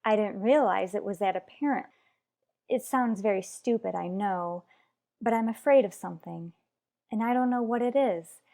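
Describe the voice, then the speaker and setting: A woman's voice: medium pitch, normal speed, creaky, dark tone, natural voice. A woman playing an anxious mother who fears for her young son in a radio soap opera. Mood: anxious, subdued.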